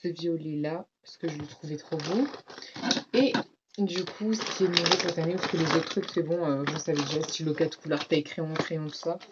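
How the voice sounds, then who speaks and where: A teen girl with a French accent talks in a low, slightly stuffy voice, rambling through her school supplies with lots of fillers and quick asides to her viewers. The sound is dull and muffled, with a clear hiss of noise underneath. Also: steady tone. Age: teens. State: slightly congested, has a cold. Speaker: vlogger. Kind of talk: casual back-to-school haul vlog.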